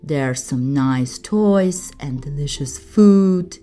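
A woman's voice: low voice, expressive, slow, dark tone, soft background music, voice pushed down. Woman voicing a cheerful fluffy storybook monster. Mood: eager. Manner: cheerful, eager. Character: friendly shy fluffy purple monster, cheerful.

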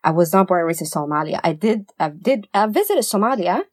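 A woman's voice: on the low side, expressive, fairly quick, dry recording, clean studio sound. A young woman from Sweden in a casual debate, repeating her words for emphasis. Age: young adult. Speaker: podcast panelist.

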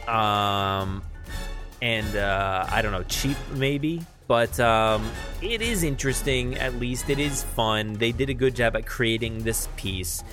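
A man hosts a podcast in a casual, unscripted style. He talks haltingly, thinking aloud as he goes, at a middle pitch with faint music in the recording. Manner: halting, thinking aloud while describing what he sees. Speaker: podcast host. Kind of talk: casual unscripted news chat.

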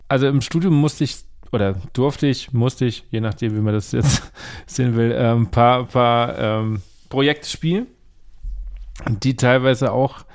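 A man hosts an interview in an easy, conversational tone. His voice is mid-pitched, and he asks short questions before adding stories from his own studies.

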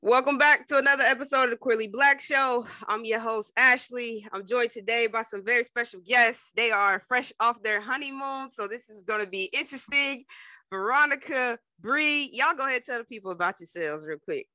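A woman's voice: on the high side, normal speed, bright, forceful tone, dry recording, rough recording. A woman hosting an interview podcast, chatting casually with slang and a sing-song, upbeat delivery. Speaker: podcast host.

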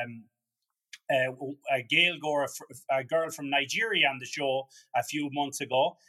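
A man's voice: on the high side, sharp tone. A man hosting a podcast, chatting casually with many restarts and fillers. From Ireland. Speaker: podcast host. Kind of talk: casual conversation.

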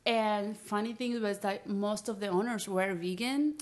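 A woman's voice: mid-range voice, steady tone, unhurried. Chatty woman. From Peru. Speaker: interview guest. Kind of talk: casual chat.

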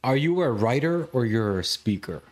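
A man's voice: mid-range voice, animated, moderate pace. A male interviewer leads the conversation with loose, wandering questions. He pads his sentences with fillers and restarts, then lands on the point.

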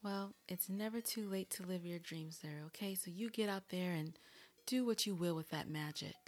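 Casting: American female podcast host, lower register, playful and teasing, asking questions in casual banter. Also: normal speed. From California, United States.

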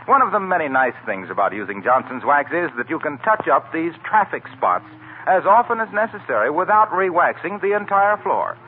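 Male 1940s radio announcer, polished sales pitch, fairly high, very lively intonation, hissy recording. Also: a little fast, narrow, tinny audio.

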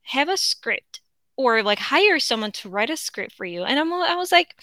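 A woman's voice: sits high, big pitch swings, normal speed, sharp tone, no room sound, clean studio sound. Chatty Korean-accented woman podcaster. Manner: rambling, chatty, frequent fillers and restarts. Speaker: podcast host. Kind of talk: casual unscripted monologue.

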